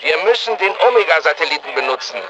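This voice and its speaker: An actor playing an anxious, learned research scientist in a video game, speaking in a formal, precise, explanatory manner. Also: male voice, on the high side, animated, fast talker, dull audio, noisy background, natural voice. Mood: urgent, worried. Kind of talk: scripted video game dialogue.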